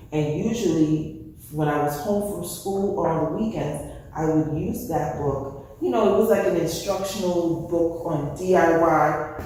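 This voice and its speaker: A woman from the Bronx, a fashion designer telling her story in a casual interview, animated and full of "you know" fillers. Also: sits low. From New York, Bronx. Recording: echoey hall from far off, faint noise.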